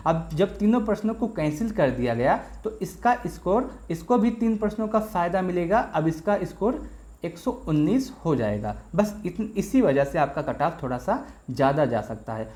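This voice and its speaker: A man's voice: high-pitched, a little mellow. A man hosting an exam-prep explainer video, addressing viewers directly as friends and walking through worked examples step by step, often repeating himself.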